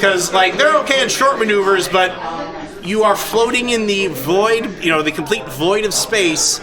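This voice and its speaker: A man acting as game master at a live tabletop game, explaining rules and narrating in a halting, informal, encouraging way. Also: sits high. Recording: noisy live convention stream, loud crowd behind. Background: crowd noise.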